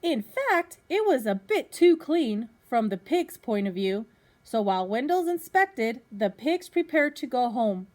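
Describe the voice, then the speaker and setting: Female, teacher type, higher-pitched voice, reading a storybook to children and checking in with them. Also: big pitch swings, darkish tone. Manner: pauses to put questions to young listeners. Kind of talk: read-aloud of a children's picture book.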